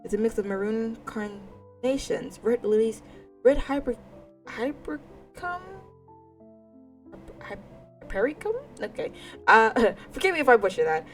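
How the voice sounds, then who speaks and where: A woman's voice: a little high, expressive, measured pace, pauses often, faint music behind. A woman streamer doing casual, playful let's-play commentary and reading a visual novel aloud in an informal, self-deprecating way. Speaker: gaming streamer.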